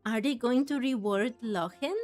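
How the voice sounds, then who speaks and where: A woman's voice: a little high, normal speed, darkish tone. A woman giving casual commentary as she plays through a game, chatty and musing aloud, with game music behind. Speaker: gaming streamer. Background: faint game music.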